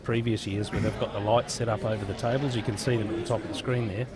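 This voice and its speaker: A man's voice: fairly low pitch, fairly even intonation, fast, over music. A man with an Australian accent co-commentating pool, casual and dry, with joking asides. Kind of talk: relaxed live commentary.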